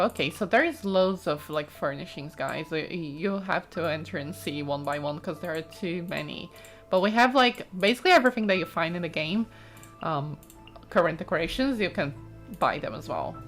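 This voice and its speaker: A woman's voice: lowish voice, moderate pace, fairly bright tone. Chatty woman commentating a game over music. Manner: chatty, exclaiming, informal. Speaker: gaming streamer, let's play host. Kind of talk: casual let's play commentary, thinking aloud while exploring a game.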